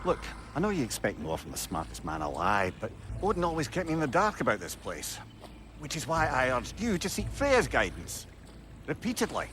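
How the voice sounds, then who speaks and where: An actor playing a witty, chatty old sage in a video game, with a Scottish accent and a wry, sardonic manner. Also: male voice, medium pitch, wildly swooping pitch, normal speed, background noise. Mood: wry, exasperated. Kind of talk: cinematic video game acting.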